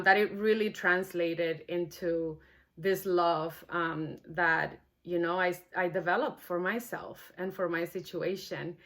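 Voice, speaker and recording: A woman's voice: lowish voice, a bit bright. A woman whose first language is not English, speaking earnestly and with feeling as the host of a book read-along. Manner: earnest, heartfelt. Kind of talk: warm personal introduction, then reading a novel aloud.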